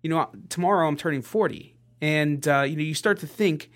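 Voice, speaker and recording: A man in his late thirties co-hosting a podcast, talking in an earnest, halting way with lots of fillers and restarts. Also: on the high side, a bit bright. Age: 30s. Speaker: podcast co-host. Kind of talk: casual motivational podcast chat.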